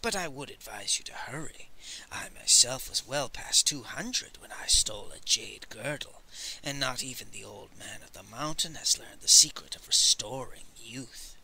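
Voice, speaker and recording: A man voicing an ancient, cunning old male sage in an audiobook, speaking in a hushed, breathy whisper with a sly, drawn-out delivery. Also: medium pitch, lively intonation, exaggerated voice, rough recording. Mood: confiding. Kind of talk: audiobook character voice.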